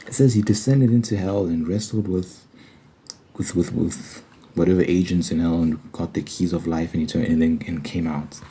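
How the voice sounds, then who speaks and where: A man with a very low, dark, relaxed voice speaks in an earnest ASMR manner, like an informal religious talk. He is conversational and leans on repeated phrases for emphasis. Light noise and clicks sit behind him. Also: normal speed, poor audio. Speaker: ASMR Bible study host.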